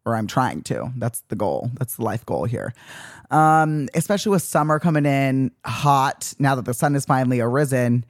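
A man's voice: medium pitch, normal speed, dry recording, clean studio sound. A man in his twenties hosting his own podcast, ranting sassily and playing mock-offended voices in a casual monologue. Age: 20s. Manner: sassy, animated rants with mock-indignant quoted voices. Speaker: podcast host.

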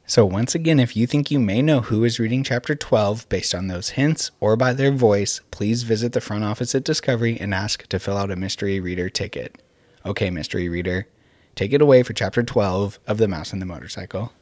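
Low-voiced male school podcast announcer. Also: brisk, darkish tone, no echo. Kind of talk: scripted announcement and introduction.

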